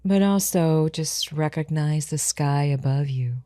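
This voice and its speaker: A woman leading a guided meditation in a soothing, unhurried manner, giving gentle instructions. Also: deep voice, dry recording, studio quality.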